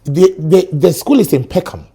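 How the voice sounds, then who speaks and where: A middle-aged Ghanaian man with a Ghanaian accent, a guest on a talk show, telling stories in an animated way. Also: fairly high pitch, moderate pace, breathy edge. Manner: animated storyteller, acts out sounds and quotes. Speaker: guest, educator. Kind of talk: studio talk show conversation.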